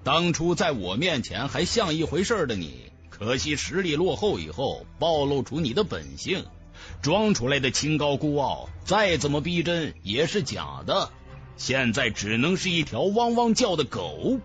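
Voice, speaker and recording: A man's voice: a little high, wildly swooping pitch, moderate pace, faint music behind. A man voicing a defiant, mocking young martial cultivator in a fantasy audiobook, with cool, taunting delivery. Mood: provocative. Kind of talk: dramatic fantasy audiobook dialogue.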